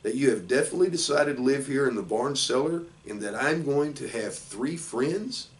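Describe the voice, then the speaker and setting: Gentle male storybook pig, polite and earnest read by an older man, mid pitch, a little off-mic. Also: expressive, normal speed, lightly acted. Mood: hopeful, joyful. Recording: distant microphone in a room.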